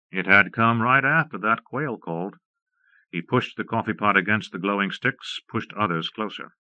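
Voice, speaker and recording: Male narrator, western audiobook: bright forceful edge, dry close sound, dulled cassette transfer. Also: lowish voice, no echo. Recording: slightly dull, lo-fi cassette transfer.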